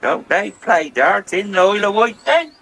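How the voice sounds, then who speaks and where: An actor playing a slow, grumbling, naive old farmhand with a gravelly voice and a rural West Country accent in a radio soap. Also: male voice, sits high, expressive, sharp tone, exaggerated voice. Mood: puzzled. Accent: English West Country rural. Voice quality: creaky, gravelly. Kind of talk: 1980s radio soap acting. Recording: hissy 1980s radio broadcast recording.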